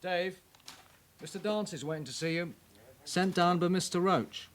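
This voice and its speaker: An actor playing a helpful, matter-of-fact police station officer in a radio crime drama. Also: male voice, high-pitched, moderate pace, a bit bright.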